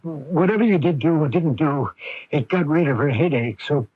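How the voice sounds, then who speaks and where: A man's voice: fairly high pitch, normal speed, breathy edge, no room sound. An actor playing an anxious, reticent middle-aged father in a radio drama, hesitant and pressing, stammering at times. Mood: urgent, pleading. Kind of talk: radio drama acting with first-person narration. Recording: dull noisy AM radio broadcast recording.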